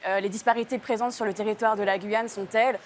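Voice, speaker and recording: A woman's voice: mid-range voice, steady tone, fast talker, slight background noise. A woman from French Guiana giving reflective, carefully phrased interview answers. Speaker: delegation member, interviewee.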